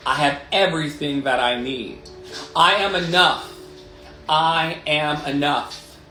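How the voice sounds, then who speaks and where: A man's voice: a little high, slow pace, pushed and bright, a little hiss or hum. A man in his late thirties hosting a motivational livestream, talking candidly and emphatically with swearing and repeated affirming phrases. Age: 30s. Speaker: life coach and livestream host. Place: living room. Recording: roomy echo, music behind.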